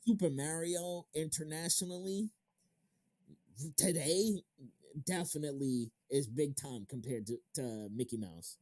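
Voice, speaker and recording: Mellow thirty-year-old man, chatting casually. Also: fairly high pitch, a little slow, no room sound. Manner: informal, slangy, with casual swearing. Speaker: interview show host. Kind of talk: casual chat about games and films.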